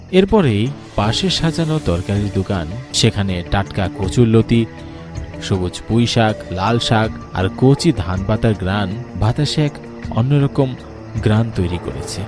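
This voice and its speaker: A man reads a scripted ghost story as its narrator. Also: on the low side.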